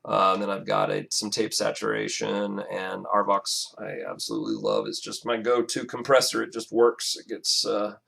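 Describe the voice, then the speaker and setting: Man, raspy, gravelly low voice, halting casual walkthrough full of ums. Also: expressive, a bit bright. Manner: halting, many fillers and restarts. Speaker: music producer. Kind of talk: casual screen-recorded mixing walkthrough.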